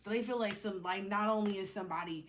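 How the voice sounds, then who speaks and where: A woman's voice: medium pitch, fast. Woman tarot reader over background noise. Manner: informal, blunt, chuckling, drifting between asides. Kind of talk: casual intuitive tarot reading monologue.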